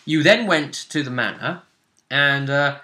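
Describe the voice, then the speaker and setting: A man running a tabletop roleplaying game as game master, recapping the story casually in a halting, emphatic way, repeating phrases as he goes. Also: on the high side, sharp tone.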